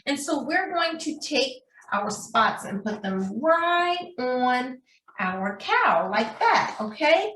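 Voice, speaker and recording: High-pitched, animated woman teaching preschoolers. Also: slow pace. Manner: sing-song, addressing children as friends, frequent check-in questions. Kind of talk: craft demonstration for young children. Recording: roomy echo, distant microphone.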